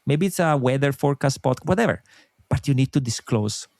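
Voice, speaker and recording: A middle-aged man from Italy with an Italian accent, a guest in an interview, explaining his views in an earnest, animated way. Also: medium pitch, fairly quick, no echo, studio quality. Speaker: podcast company co-founder, guest. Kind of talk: interview conversation.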